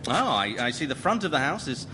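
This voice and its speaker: A man's voice: on the high side, big pitch swings, fairly quick, noisy background. A male actor playing an earnest, easily baffled property-show presenter in a radio sitcom parody.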